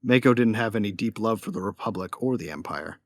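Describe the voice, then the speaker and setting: Casting: male actor for young sci-fi hero-narrator, wry, guarded, lowish voice, dry close recording. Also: animated, fairly quick, no room sound, lightly acted. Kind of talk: first-person narration and dialogue.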